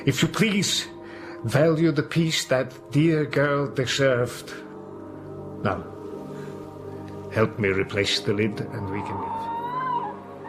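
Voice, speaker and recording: Slow, grave old professor. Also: male voice, mid-range voice, huge pitch swings. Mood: solemn, firm. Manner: deliberate, halting, repeats words for weight. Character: grave elderly foreign professor, vampire hunter, patient but relentless. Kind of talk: gothic audio drama acting. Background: dramatic music.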